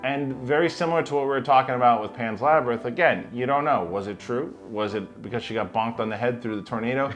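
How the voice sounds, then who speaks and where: A man's voice: medium pitch, quick pace, hardly any pauses. A man co-hosting a film show, chatting casually and enthusiastically through a countdown list. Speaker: co-host of a movie review show. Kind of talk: casual movie countdown chat. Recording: music playing behind the talk. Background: music bed.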